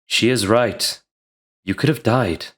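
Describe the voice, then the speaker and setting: Man acting a low, composed young fighter. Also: a bit bright. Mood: grave. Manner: solemn, measured, formal wording.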